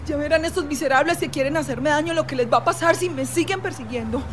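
Actress playing a very high-pitched frightened woman driver. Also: fast, a little hiss or hum, natural voice. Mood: indignant, fearful. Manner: pleading, dramatic. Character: frightened, desperate young woman driver being chased. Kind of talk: radio drama acting. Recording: music behind the voice.

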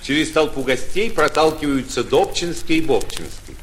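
A man's voice: fairly high pitch, expressive, normal speed. A man narrating stage directions for a 1940s radio play in a scripted, theatrical-broadcast style. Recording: old radio recording with background noise.

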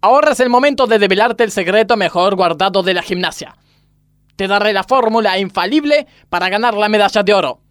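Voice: mid-range voice, fairly bright tone, no room sound, clean studio sound, natural voice. An actor playing an exasperated male gymnastics coach in a parody radio play, instructing with melodramatic solemnity.

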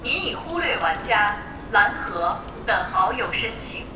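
A woman's voice: mid-range voice, very animated, a little slow, music in the background. A woman voicing a game system that announces notifications in a formal, automated manner within an audio drama. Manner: formal, automated prompt delivery. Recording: roomy echo, distant.

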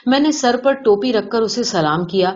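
A woman's voice: fairly high pitch, lively intonation, a little mellow. A woman reading a literary short story aloud as scripted narration. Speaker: audiobook reader of a short story. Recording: dull, lo-fi sound.

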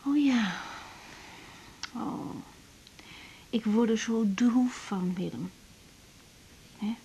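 An actress playing a young doctor, tender and troubled, in an intimate, hesitant radio drama style. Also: female voice, a little high, very slow, gravelly, mellow, slight background noise, heavily acted. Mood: melancholy. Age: young adult. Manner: intimate, hesitant, sighing delivery. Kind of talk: naturalistic radio drama acting.

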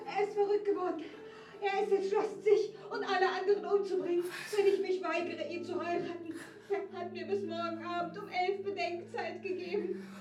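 An actress playing a distressed young captive woman in a radio drama, tremulous, breathy and pleading. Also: very high voice, little pitch movement, moderate pace, darkish tone. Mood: frightened, pleading. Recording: echoing, distant, music behind.

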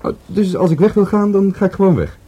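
A man's voice: high-pitched, animated, fast, warm tone, breathy edge. An actor playing a cheeky, wary man under police questioning in a radio drama, sardonic and defiantly unrepentant. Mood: wary, challenging. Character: cheeky, wary male murder-case witness, defiantly unrepentant.

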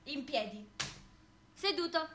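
Through clearly noisy, clicking sound, a woman playing a stern taskmistress gives orders in a very high voice. The delivery is theatrical, repetitive and chant-like. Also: animated, slow. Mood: relentless. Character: stern female drill voice barking repetitive orders. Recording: noisy, clicking live stage recording.

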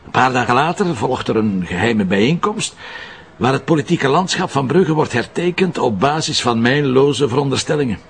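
An actor playing a gruff, stubborn, sardonic police inspector in an audio drama, with a Flemish accent. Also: male voice, medium pitch, lively intonation, moderate pace, faint music behind. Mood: brooding. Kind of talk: naturalistic radio drama dialogue.

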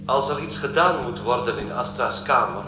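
A man voices a controlling artificial mind in a radio play. He is cold, measured and commanding, heard from a distance in an echoing space with clear music underneath. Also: medium pitch, natural voice. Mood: stern, official. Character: controlling male ship intelligence called an angel, cold and authoritarian. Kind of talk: Dutch radio drama acting.

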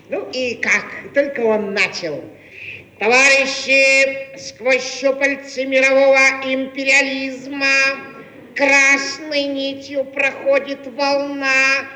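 An actor playing a brash, jovial, practical young comrade with a folksy, teasing manner in a radio play. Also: male voice, extremely high pitch, bright, forceful tone, exaggerated voice. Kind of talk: Soviet radio play acting. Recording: echoey, faintly noisy archive radio recording.

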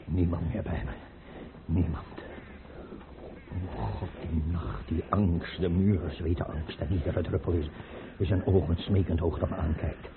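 An actor playing a terrified, paranoid and defiant tsar in a radio play, with anguished, theatrical delivery in broken phrases. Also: male voice, deep voice, fairly even intonation, a little slow. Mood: haunted, fearful. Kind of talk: Dutch radio play acting. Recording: noisy, clicking, narrow-band old radio recording.

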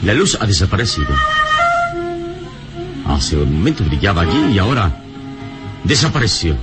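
A man playing a calm, courteous heroic adventurer in a radio serial, speaking in a measured, formal, commanding way. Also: low voice, over loud music. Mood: alert. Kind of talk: old Spanish-language radio serial acting. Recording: noisy old radio drama recording.

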